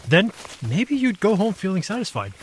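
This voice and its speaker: A man playing a gentle, thoughtful and knowing guide in an audio drama, with a measured explanatory manner. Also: sits high, big pitch swings, slight background noise.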